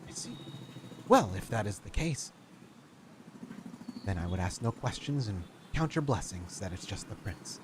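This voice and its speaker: A man's voice: on the low side, big pitch swings, measured pace, pauses often, background noise. A man voicing a suave, courtly male vampire.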